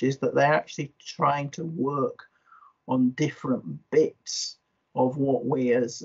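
Male researcher, creaky rough-edged voice, hesitant and reflective, seminar discussion over video call. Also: medium pitch. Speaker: energy researcher, seminar participant. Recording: dull video call audio.

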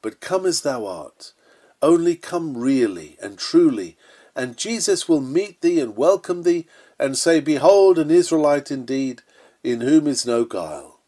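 A man's voice: fairly high pitch, lively intonation, a little slow, dark tone. Earnest man reading an old sermon. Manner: earnest, emphatic, solemn. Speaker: sermon reader. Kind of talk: read-aloud Victorian sermon, earnest preaching delivery.

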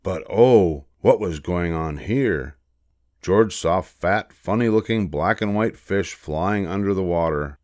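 An older man narrates a children's storybook in a low, deep voice. He takes his time, reading expressively and with measured care. The sound is close and dry. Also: a little mellow, dry recording.

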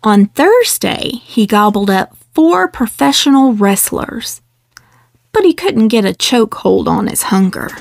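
A woman reading a picture book aloud in a measured, expressive storytelling delivery. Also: fairly high pitch, creaky, no echo, studio quality. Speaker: children's book reader. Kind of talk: scripted storybook read-aloud.

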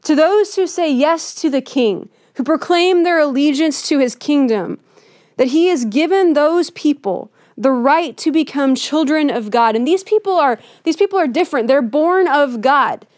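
A woman pastor giving a Christmas sermon in a church, conversational and earnest, often softening her points with "kind of.". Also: sits high, lively intonation, moderate pace, no echo, studio quality. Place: church sanctuary with a Christmas tree.

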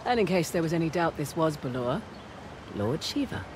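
A woman's voice: low voice, big pitch swings, moderate pace, background noise. An actress playing a wry, adventurous female treasure hunter in a video game, with dry, knowledgeable, teasing delivery. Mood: curious. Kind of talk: video game acting, naturalistic dialogue. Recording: game soundtrack music underneath.

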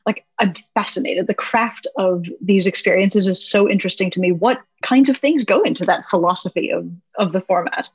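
A woman's voice: mid-range voice, moderate pace, slightly rough, no echo, rough recording. A woman in a mid-range voice hosts an interview, leaning into her questions with eager curiosity and plenty of conversational fillers. Her voice sits close and dry, with almost no room sound. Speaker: podcast host. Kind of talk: casual interview chat. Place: home.

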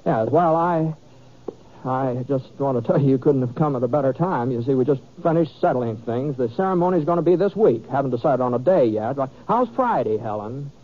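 A bashful, easygoing cowboy played by a man in a radio western. He stammers and hedges in a folksy drawn-in way, his pitch moving about a lot. The sound is tinny and narrow, like an old 1950s broadcast. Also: expressive, lightly acted. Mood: flustered. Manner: hesitant, halting, folksy.

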